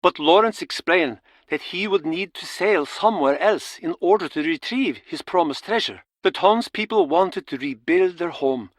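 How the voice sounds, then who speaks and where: A man acting as a storyteller, solemnly recounting an old town legend in a measured, dramatic way for a game. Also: sits high, expressive, dry recording.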